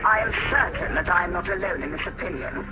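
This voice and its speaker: An actor playing a haughty, pompous tech-priest in a video game, speaking in a formal, condescending way. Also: male voice, high-pitched, quick pace, lo-fi sound, close to an everyday voice. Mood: disdainful. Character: haughty male machine-cult tech-priest, pompous and disdainful. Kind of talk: scripted game mission briefing and dialogue. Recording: roomy, distant, under loud music.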